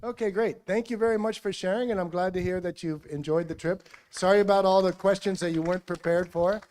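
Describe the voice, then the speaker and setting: A man hosting a school assembly, asking students brisk, encouraging follow-up questions on a handheld microphone. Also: high-pitched, steady tone, darkish tone. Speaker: school assembly host and teacher.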